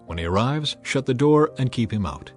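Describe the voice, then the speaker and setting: A man's voice: medium pitch, expressive, moderate pace, faint music behind, close to an everyday voice. A man reading as a firm, solemn prophet. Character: calm, commanding male prophet.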